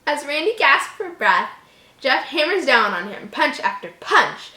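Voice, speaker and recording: A woman's voice: high voice, very animated, measured pace, bright, forceful tone. A woman reading a horror story aloud as a host, stumbling over words and breaking off into mocking, exasperated asides. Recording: echoey room.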